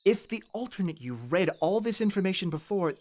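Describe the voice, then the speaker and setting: A man's voice: sits high, expressive, fairly quick. A young man playing an earnest, analytical student son in a radio play, articulate and reasoning. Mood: earnest, reassuring. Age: young adult.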